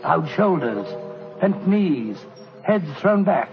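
An actor playing an earnest, proper Victorian gentleman, narrating and speaking in an old radio drama. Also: fairly high pitch, sing-song, slow, soft background music, exaggerated voice. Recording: dull old radio recording, faint hiss.

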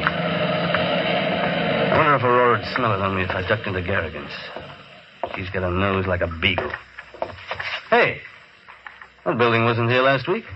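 Harried, grumbling salesman, blustery, pitch swinging widely, on lo-fi old radio. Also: noisy background. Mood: dejected. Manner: blustery, conversational, muttering. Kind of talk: old-time radio drama acting. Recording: dull, lo-fi old radio recording.

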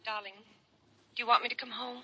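An actress playing a pleading estranged wife over the telephone in an old radio drama, her voice thin and husky. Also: fairly high pitch, flat delivery, fast talker, pushed and bright, exaggerated voice. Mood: yearning. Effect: telephone filter.

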